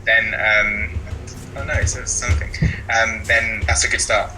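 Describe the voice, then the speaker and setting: A man speaking as a teacher guest in a casual podcast discussion, explaining earnestly and haltingly, often repeating phrases. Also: lowish voice, little pitch movement, sharp tone, faint music behind, rough recording. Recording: faint background noise.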